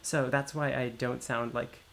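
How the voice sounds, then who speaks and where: A man's voice: medium pitch, fairly even intonation, a little slow, creaky, a bit bright, faint music behind. A man with an American accent chatting casually as he livestreams a game, rambling into explanatory asides. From United States, Maryland. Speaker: livestream host playing a video game. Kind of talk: casual chat while gaming.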